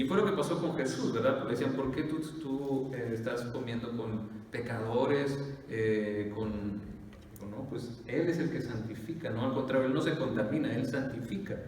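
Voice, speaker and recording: A pastor, preaching in a conversational style full of "eh" fillers and rhetorical questions. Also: male voice, medium pitch, darkish tone, a little hiss or hum. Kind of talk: sermon. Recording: echoey hall from far off.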